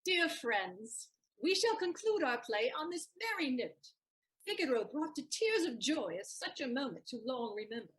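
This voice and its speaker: A spirited young bride with a very high, lively voice and a husky edge, as a woman acts her out. She speaks playfully and declaims her lines. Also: normal speed, heavily acted, poor audio.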